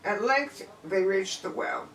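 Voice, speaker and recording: A man's voice: sits high, slow, a bit bright. A man reading a folk tale aloud expressively, with dramatic, emphatic phrasing. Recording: distant, echoey room with faint noise.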